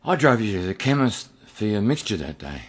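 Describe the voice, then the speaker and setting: A man's voice: on the low side, very animated, a little fast, breathy edge. An actor playing a suspicious, desperate older man in a radio play, with a strained, effortful delivery. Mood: weary, suspicious. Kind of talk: radio drama acting.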